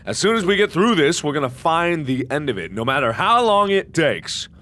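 A man with a hoarse voice giving jokey let's-play commentary into a headset, with sudden excited yelps. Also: fairly high pitch, normal speed. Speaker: gaming video host.

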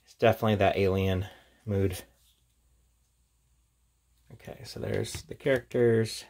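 A man's voice: lowish voice, steady tone, measured pace, vocal fry, darkish tone. A man giving a casual, off-the-cuff product review in an informal, chatty way as he presents. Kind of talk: casual unboxing and review chat.

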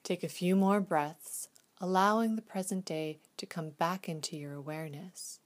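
Soft soothing lady doing a guided meditation, kinda low voice, hushed and unhurried. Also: fairly even intonation. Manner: gentle, soothing, slow and hushed.

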